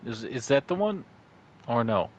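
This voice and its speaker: Slow, halting man who curses. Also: mid-range voice, very animated, dull audio. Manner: slow, halting, swears often, stumbles over words. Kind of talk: casual chat.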